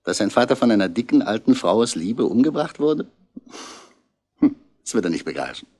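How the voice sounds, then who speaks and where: A seasoned male police commissioner, calm and probing. His low voice moves lightly but stays measured, in the manner of vintage radio crime plays. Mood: dry, reflective. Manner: measured, direct questioning. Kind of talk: 1960s German radio crime drama acting.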